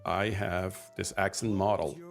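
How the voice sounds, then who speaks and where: Male developer-streamer, gravelly low register, casual and halting commentary, faint music underneath. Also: fairly even intonation. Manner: halting, thinking aloud. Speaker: software developer and live streamer. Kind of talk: casual live-coding commentary.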